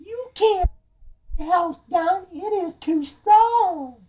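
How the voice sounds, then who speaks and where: A man's voice: extremely high pitch, slow, warm tone, dull audio, pitched above the performer's own voice. A man voicing a clever little storybook pig, childlike and sing-song, cheeky and defiant. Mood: scared, defiant. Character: cheeky, squeaky little male piggy, small and clever. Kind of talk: storybook character voice.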